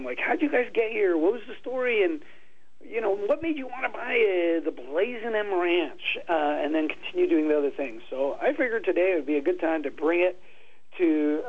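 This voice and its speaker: A man hosts a local radio talk show, his voice a little husky and slightly raised in pitch. He talks casually, restarting phrases as he goes. The sound is dull and lo-fi, like an AM broadcast. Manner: chatty, stumbling restarts. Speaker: radio host.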